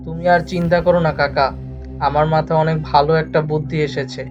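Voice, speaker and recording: A man playing an eager, helpful male villager in an animated cartoon, talking in a reassuring way. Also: a little high, fast, lightly acted. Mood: confident. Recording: dull lo-fi cartoon soundtrack. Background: faint music.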